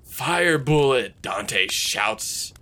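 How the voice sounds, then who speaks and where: A man's voice: lowish voice, little pitch movement, slow pace, bright, forceful tone, slight background noise, full character voice. A man playing the earnest narrator of a clumsy action story, reading in a stilted, stumbling way. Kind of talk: dramatic reading of amateur fiction.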